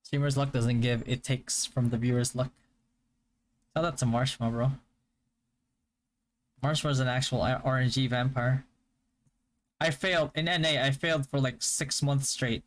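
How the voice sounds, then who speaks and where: A young man from the Philippines whose first language is Hiligaynon chats with his viewers at a middling pitch. His delivery stays fairly flat and even, easygoing and stop-start, with plenty of restarts as he answers their questions. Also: moderate pace, pauses often. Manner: relaxed, stop-start, with frequent fillers and restarts. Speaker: game streamer. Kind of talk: casual live-stream chat answering viewers.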